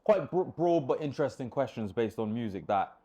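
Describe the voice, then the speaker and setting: A man co-hosting a podcast in casual chat, eager and quick to agree. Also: mid-range voice, animated, mellow, breathy edge, dull audio. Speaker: podcast co-host.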